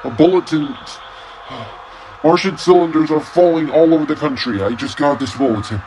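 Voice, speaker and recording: A man playing a doomed radio news reporter, giving a halting, stammering eyewitness broadcast in an old-time radio drama. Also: on the high side, a little mellow, slight background noise, natural voice, rough recording. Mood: panicked. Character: doomed male radio reporter, dread turning to panic.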